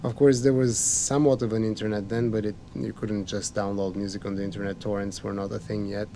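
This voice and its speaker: Guy chatting thoughtfully about music, mellow low-ish voice. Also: a little hiss or hum. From Bulgaria. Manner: reflective, storytelling. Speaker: pianist guest. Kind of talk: conversational interview.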